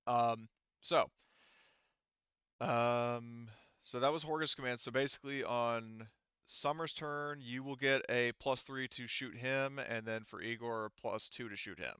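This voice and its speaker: A man's voice: mid-range voice, measured pace, fairly bright tone, clean tone, no room sound, dull audio. A man working as game master, running a tabletop roleplaying game in a casual, freely swearing manner.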